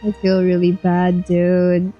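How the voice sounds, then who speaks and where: A woman's voice: lowish voice, completely flat delivery, slow pace, lo-fi sound, soft background music. A woman giving casual, blunt and slangy reaction commentary as she plays a video game. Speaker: streamer playing a video game.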